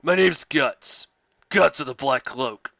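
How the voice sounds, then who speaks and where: A man's voice: fairly high pitch, takes it slowly, creaky, bright, forceful tone, dry recording, muffled recording. A man giving a casual amateur dramatic reading as a guest playing character parts.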